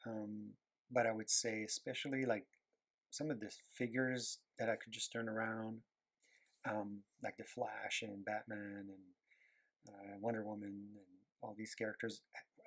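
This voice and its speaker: A man with a Quebec French accent talks in a fairly low voice as he draws. He is a native French speaker who chats casually in English, often pausing with fillers as he hunts for the right words. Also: fairly bright tone. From Quebec, Canada. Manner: rambling, searching for words, many fillers. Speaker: animator and illustrator. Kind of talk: casual talk while drawing.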